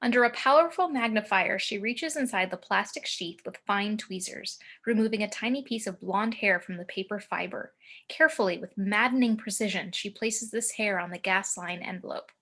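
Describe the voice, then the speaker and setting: A woman narrates a screenplay's action and scene headings. Her voice is very bright and forward, at an average pitch for a woman. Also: moderate pace. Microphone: home video call.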